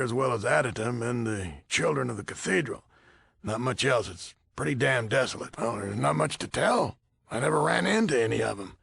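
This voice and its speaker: A crusty old commander of a military order for a video game. His voice is heavily gravelled and husky. He talks bluntly and folksily, with little patience. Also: mid-range voice, fairly bright tone, full character voice. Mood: gruff, matter-of-fact. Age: older. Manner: blunt, folksy, swearing, easily exasperated. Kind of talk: video game character dialogue.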